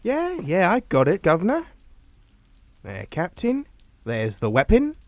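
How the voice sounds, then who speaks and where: A young henchman son taunts with breezy, mocking politeness in a radio suspense play. His voice is a little high and very lively, recorded dry and close. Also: a little slow, no room sound, full character voice. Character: cocky, sneering young adult English son and henchman. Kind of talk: suspense radio drama acting.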